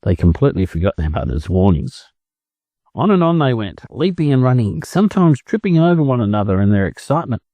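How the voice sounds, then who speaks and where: Mellow Australian man narrating, lively intonation. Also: mid-range voice, fairly quick, no room sound. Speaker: story narrator. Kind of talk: scripted children's story narration for English learners.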